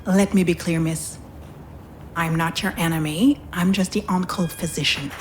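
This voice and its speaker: Measured, lowish-voiced woman physician played by an actress. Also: steady tone, slight background noise, close to an everyday voice. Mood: firm. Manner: measured, professional, frank. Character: frank, composed, sympathetic woman hospital physician. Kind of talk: visual novel voice acting.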